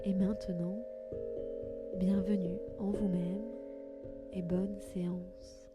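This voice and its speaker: Female meditation guide, calm and gentle, mid-pitched, spaced phrasing, music underneath. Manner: calm, gentle, slow instructions with pauses. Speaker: podcast host and yoga nidra guide.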